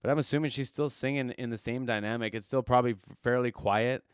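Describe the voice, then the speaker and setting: A man's voice: mid-range voice, fairly even intonation, fast talker, clean tone, dry recording, studio quality. A man hosting a reaction video who talks as a singer about vocal technique, rambling enthusiastically and repeating himself with many fillers. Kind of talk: casual commentary on a singing performance.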